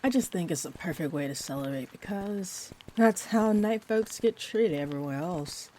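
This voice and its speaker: Over a faint bed of rain, a woman plays a friendly vampire bartender with a low-pitched voice. She is gentle and a little hesitant, drifting into whimsical tangents. It is an intimate comfort roleplay, soft and sweet in manner. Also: normal speed, a little hiss or hum, lightly acted. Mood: chatty, musing. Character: sweet rambling friendly female vampire bartender, looks twenty-four. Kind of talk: roleplay audio, soft intimate rambling monologue. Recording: steady rain ambience behind the voice.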